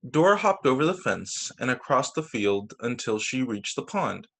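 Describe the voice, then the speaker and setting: A man's voice: medium pitch, sing-song, normal speed, creaky, a bit bright. A man reading picture books aloud to children as a teacher, narrating over a video call. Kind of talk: storytime narration read aloud.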